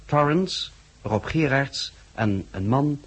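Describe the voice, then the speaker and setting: A man's voice: mid-range voice, lively intonation, husky. A man with a Dutch accent formally reading scripted credits as a 1960s radio announcer. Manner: formal, measured. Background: music.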